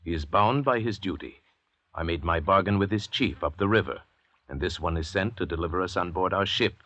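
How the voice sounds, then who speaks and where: An actor playing an arrogant, calculating convict doctor who leads his fellows with measured, condescending eloquence in an old-time radio drama. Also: low-pitched, bright, forceful tone, no room sound. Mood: haughty disdain. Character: cold, arrogant, commanding convict doctor, calculating leader of escapees. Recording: dull, noisy vintage radio recording.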